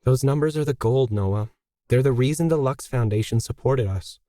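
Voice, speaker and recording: A man's voice: mid-range voice, normal speed, mellow, no room sound, clean studio sound, close to an everyday voice. A man voicing a determined, weary woman artist who explains insistently in an audiobook story.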